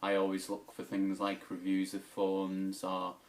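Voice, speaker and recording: A British man with a slightly low voice chats to camera. He is casual, tentative and rambling. He records on a tablet's built-in microphone as a YouTuber. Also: monotone, a little slow.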